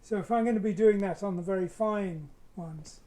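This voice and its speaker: A man, a little hoarse today, explaining his painting as he works in a casual live demo, with soft, dry, understated replies. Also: high voice, normal speed. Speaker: artist demonstrating painting.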